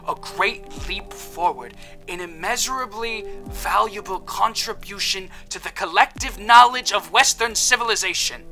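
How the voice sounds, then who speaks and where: Guy doing a grandiose old-timey quack doc, high bright voice, audio drama. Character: pompous old-fashioned male quack doctor, theatrical. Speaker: shopkeeper healer.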